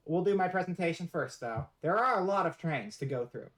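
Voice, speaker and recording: A woman's voice: lowish voice, lively intonation, fairly quick. A woman streamer giving a casual live-stream presentation, rambling and joking in a playfully mock-serious way.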